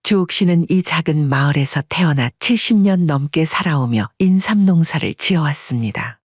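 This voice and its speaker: A man narrating a family story in calm, measured audiobook style. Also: a little high, husky, dry recording, dull audio.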